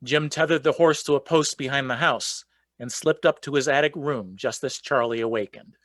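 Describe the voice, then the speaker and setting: A man's voice: medium pitch, moderate pace, fairly bright tone, no room sound, lightly acted. A man narrating a folk legend as the storyteller in a scripted radio play. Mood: storytelling.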